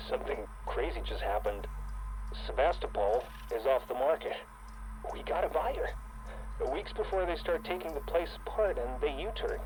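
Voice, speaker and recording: A man acting as an astonished corporate insider in a sci-fi game log. He speaks at a medium pitch in a confiding way, with faint ambient noise behind. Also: darkish tone, natural voice, poor audio. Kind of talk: recorded message, game acting.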